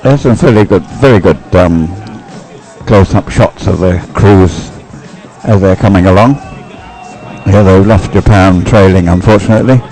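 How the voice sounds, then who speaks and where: A man's voice: deep voice, normal speed, warm tone, soft background music, some chatter behind, poor audio. A man giving live race commentary in a rambling, hesitant, informal way, explaining as it goes. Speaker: sports commentator.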